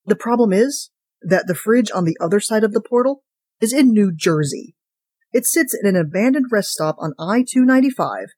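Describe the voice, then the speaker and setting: Podcast reader as wry ordinary narrator, sardonic, conversational, put-upon, mid pitch, dry sound. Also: a little mellow, dry recording, natural voice. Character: wry, chatty, put-upon ordinary fridge-portal owner. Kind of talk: first-person short story reading.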